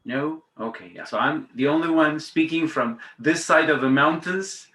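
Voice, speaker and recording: A man's voice: a little high, moderate pace, sharp tone. A man in his fifties from Argentina, a native Spanish speaker, chatting informally and hesitantly as a guest speaker on a webinar. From Patagonia, Argentina. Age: 50s. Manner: chatty, hesitant, conversational asides. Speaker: guest speaker, teacher trainer. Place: indoors on a video call. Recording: roomy, echoey webinar audio.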